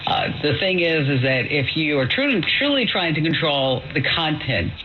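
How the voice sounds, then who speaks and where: A man's voice: on the high side, very animated, moderate pace. A man hosting a call-in advice show in a casual, informal, exclamatory way, often checking in with the listener. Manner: informal, exclamatory, peppered with "you know". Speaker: call-in tech advice host. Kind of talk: casual, chatty radio advice. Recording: noisy, dull shortwave radio.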